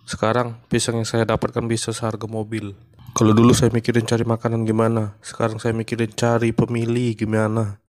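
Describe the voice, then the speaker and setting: A male cartoon monkey comedian, played by a man works the crowd with a low, level voice. His delivery stays flat and deadpan throughout. The sound is dry and close, with no room around it. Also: fairly quick, no room sound, lightly acted. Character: male monkey stand-up comic running for mayor. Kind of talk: stand-up comedy routine.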